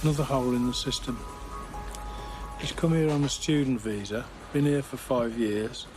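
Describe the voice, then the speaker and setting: A man working as a High Court enforcement agent, talking bluntly and matter-of-factly about a job. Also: steady tone, moderate pace, over music. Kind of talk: on-the-job talk and interview.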